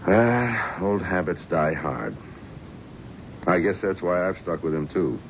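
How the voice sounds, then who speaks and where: An actor playing a middle-aged devoted friend, gentle, wry and rueful. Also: male voice, sits low, lively intonation, slow pace, a little hiss or hum, heavily acted. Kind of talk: 1970s radio drama acting. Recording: dull vintage radio broadcast.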